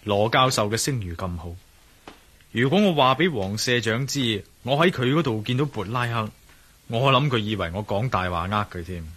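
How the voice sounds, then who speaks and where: An actor playing a troubled, suspicious adventurer-investigator in a Hong Kong Cantonese radio drama, speaking conversationally with sighs. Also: male voice, on the low side, expressive, normal speed, fairly bright tone, breathy edge. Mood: brooding. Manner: sighing, conversational.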